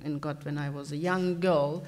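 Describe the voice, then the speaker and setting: Woman with halting English. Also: low-pitched, steady tone, a little mellow. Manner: halting non-native English, searching for words. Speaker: panel guest. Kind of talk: panel discussion answer. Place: public debate hall.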